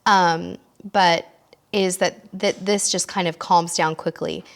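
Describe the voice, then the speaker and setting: A middle-aged woman hosting a casual live stream, chatty with her viewers, her voice hoarse and worn from losing it, often clearing her throat. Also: lowish voice, steady tone, slow. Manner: chatty, warm toward viewers, reads out comments. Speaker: cruise show host.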